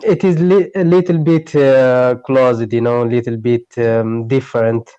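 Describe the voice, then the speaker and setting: A man from Eritrea, a Tigray speaker learning English, speaks in halting phrases, often searching for words. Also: medium pitch, steady tone, mellow, clean tone, dry recording, muffled recording. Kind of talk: online tutoring conversation. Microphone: video call.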